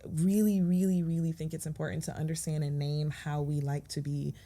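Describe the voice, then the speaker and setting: Woman therapist, low voice, measured and affirming, podcast guest. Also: flat delivery, dark tone. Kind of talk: reflective podcast conversation.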